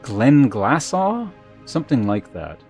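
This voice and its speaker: A man hosting a livestream, chatting casually and reading aloud in a halting, measured way. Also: medium pitch, big pitch swings, a little mellow, slightly rough. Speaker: live streamer host. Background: music.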